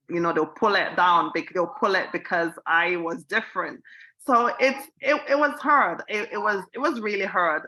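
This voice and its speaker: Woman from Sierra Leone, panel speaker, bright and forceful tone, lowish voice, earnest. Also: poor audio. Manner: earnest, repeats herself, rising emphasis. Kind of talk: panel discussion answer.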